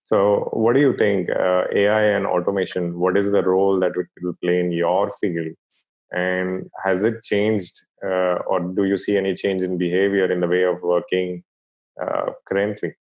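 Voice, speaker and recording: A man hosting an interview, asking questions in a measured, hesitant way. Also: deep voice, little pitch movement, a little mellow, dull audio. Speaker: interview host.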